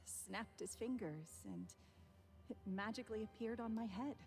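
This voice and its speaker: An actress playing a gentle, nostalgic, romantic woman in a video game, speaking wistfully. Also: mid-range voice, normal speed, creaky, faint music behind, close to an everyday voice. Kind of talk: game voice acting.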